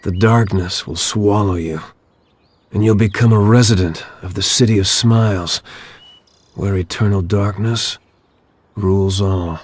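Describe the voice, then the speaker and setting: A man playing a humble, gentle, cryptic street singer who speaks like a prophetic storyteller in an animated game. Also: fairly low pitch, measured pace. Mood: ominous. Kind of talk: animated game cutscene dialogue and song.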